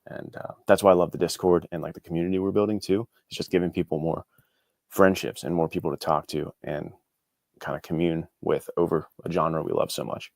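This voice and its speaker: A man's voice: low-pitched, steady tone, brisk, gravelly, a little mellow, no room sound, studio quality. A man speaking earnestly and encouragingly as an interview guest, giving reflective answers in a casual, conversational way. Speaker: interview guest, indie author.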